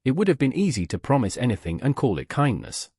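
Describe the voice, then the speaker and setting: Guy narrating an audiobook, measured and literary, slightly husky, very dry recording. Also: medium pitch, very animated, a little mellow, dry recording.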